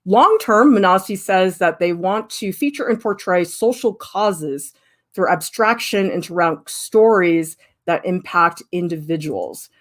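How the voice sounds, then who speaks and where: A woman's voice: lowish voice, a little slow. Emphatic, candid woman art professor. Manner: candid, lively, emphatic, with frequent "I mean". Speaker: art professor critiquing students' work. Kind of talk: livestream critique, answering chat questions.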